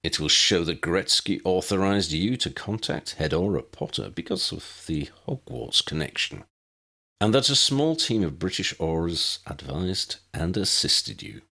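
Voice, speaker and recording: A man's voice: low voice, expressive, bright, forceful tone, heavily acted. A man voicing a stern, weary warlock director in an audiobook, formal and dry with wry sarcasm. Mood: weary, wry authority. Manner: formal, dry, measured, sardonic.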